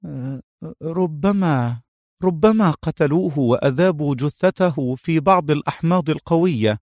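A man's voice: expressive, a little slow, darkish tone, clean tone, no room sound, studio quality. A man voicing an anxious, easily piqued young female agent in an audiobook, tentative and questioning. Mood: hesitant, disappointed. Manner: raised pitch, questioning, tentative. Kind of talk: dramatized audiobook heroine.

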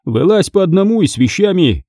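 Guy doing a menacing crime-story thug, sardonic and taunting, bossy, slightly raised lively voice. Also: sits high, brisk, no room sound, studio quality, voice pushed up. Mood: mocking menace. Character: menacing male thug, mocking, cold-blooded interrogator.

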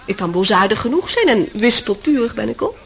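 A woman's voice: a little high, sing-song, fairly quick, vocal fry, husky, full character voice. A woman playing a capricious young temptress in a radio play, mock-sweet, coy and spiteful underneath. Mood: teasing, spiteful. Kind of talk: radio drama acting.

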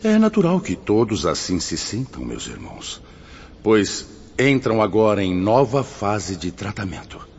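A man's voice: lowish voice, huge pitch swings, measured pace, a little mellow, slightly rough, exaggerated voice. A Brazilian man acting in a radio drama as a solemn, authoritative spirit mentor, declaiming like a preacher. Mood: firm, instructive. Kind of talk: radio drama acting, oratorical sermon-like address. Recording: muffled, noisy, long hall reverb.

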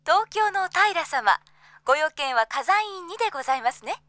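An actress playing a courteous club receptionist answering the telephone in a Japanese audio drama, with very polite, formal service speech. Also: female voice, very high-pitched, expressive, normal speed, pushed and bright, no room sound, exaggerated voice.

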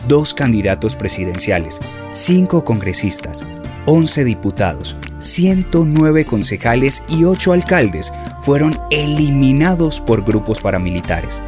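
Casting: male actor as solemn documentary narrator, mid-pitched but very lively, emphatic, music clearly underneath. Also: natural voice. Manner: emphatic, declamatory. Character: grave narrator denouncing political violence. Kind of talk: dramatic documentary narration in a radio drama.

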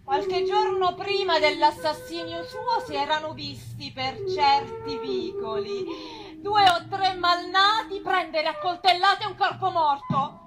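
A woman's voice: very high-pitched, slow. A woman acting as a grave, ominous storyteller in a theatrical historical tragedy. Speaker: narrator. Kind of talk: dramatic stage narration. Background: live music.

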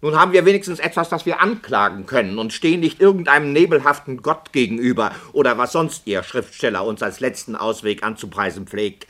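Indignant, argumentative director, swinging intonation. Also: male voice, medium pitch, animated, brisk, few pauses, bright, forceful tone. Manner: emphatic, argumentative, formal diction. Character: indignant, argumentative male radio play director challenging injustice. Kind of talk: 1960s German radio play acting.

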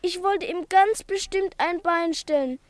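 A timid little schoolboy, acted by a woman in an old radio play, his voice piping and very high. He speaks slowly, plaintive and full of self-doubt. The recording is close and dry. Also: no echo. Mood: rueful. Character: timid small schoolboy, ashamed of his cowardice. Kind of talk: 1950s radio play acting.

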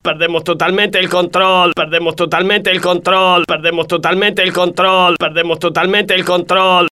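A man's voice: high-pitched, little pitch movement, normal speed, sharp tone. A man playing the announcer who narrates a comic crime radio serial in a declamatory manner. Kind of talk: scripted radio play narration.

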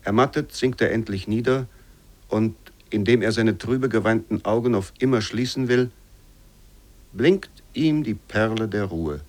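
Actor as a slow, solemn male literary reciter. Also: lowish voice, darkish tone, natural voice. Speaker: reader of literary quotations.

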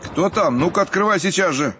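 A man voicing an indignant, pompous Soviet official who shouts and blusters, his voice raised high above his own. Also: big pitch swings, a little slow, muffled recording, slight background noise. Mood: outraged.

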